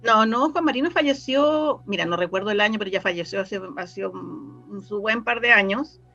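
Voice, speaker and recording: A woman's voice: mid-range voice, moderate pace, sharp tone. A Chilean woman answering interview questions conversationally, hesitant, searching for words and often repeating herself. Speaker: interview guest, scriptwriter and radio drama researcher. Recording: dull, lo-fi sound with faint music. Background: faint music.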